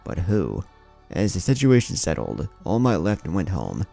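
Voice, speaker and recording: A man's voice: sits low, huge pitch swings, moderate pace, vocal fry, mellow, faint music behind. A man reading a scripted fan fiction story as its narrator.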